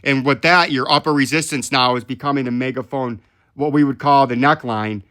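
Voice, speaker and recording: A man's voice: mid-range voice, fairly even intonation, a little fast, bright, forceful tone. A man hosting a market analysis channel, talking through charts step by step and often checking in with the listener. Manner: explanatory, often checks in with "right?". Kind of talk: live technical chart commentary.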